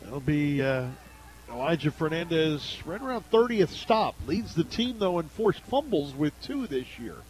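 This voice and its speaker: A man with an American accent calls a football game live for radio as the play-by-play announcer. His voice sits fairly high for a man, and he follows each play in the clipped phrasing of sports commentary. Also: very animated, unhurried, a little mellow, low-quality recording.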